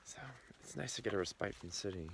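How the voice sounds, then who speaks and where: A man with a cold talking casually to the camera, hoarse and half-whispering at times to spare his voice. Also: low-pitched, lively intonation, quick pace, background noise. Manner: chatty, confiding, partly whispered to save the voice. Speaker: vlogger. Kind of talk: casual vlog talk. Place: outdoors in the woods.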